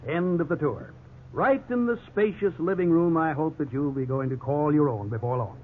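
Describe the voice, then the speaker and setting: An actor playing an upbeat, evasive real estate salesman in a 1950s radio drama, with smooth, ingratiating sales patter. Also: a little high, moderate pace, close to an everyday voice. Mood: salesy, upbeat. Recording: tinny, hissy 1950s radio recording.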